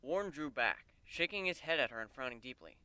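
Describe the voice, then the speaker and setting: A man's voice: medium pitch, lively intonation, fairly quick, pushed and bright, smooth, no room sound. A man narrating a scripted story in a dramatic reading style. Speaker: narrator of a fan fiction reading.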